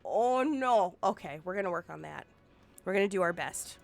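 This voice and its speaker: A woman's voice: lowish voice, lively intonation, normal speed, gravelly, faint music behind. A woman streaming a game and chatting casually with her viewers, sounding nasal and sniffly from congestion. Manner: bubbly, playful, chatty with viewers.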